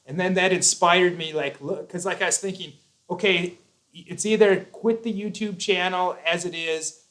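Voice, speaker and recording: A man's voice: high voice, fairly even intonation, normal speed, fairly bright tone, poor audio. A man hosting a live chat, talking earnestly and at length, with frequent restarts. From United States. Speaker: YouTube host.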